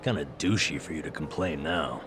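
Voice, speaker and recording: A man's voice: rumbling low, fairly quick, music in the background. A man playing a laid-back, teasing guy in a video game cutscene, casual and wry in his slang.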